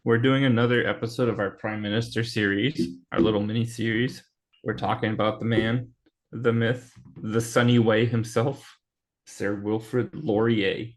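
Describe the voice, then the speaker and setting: A man's voice: lowish voice, level tone, normal speed, low-quality recording. A man co-hosting a casual history podcast, teasing his partner and giving wry opinions. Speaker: podcast co-host.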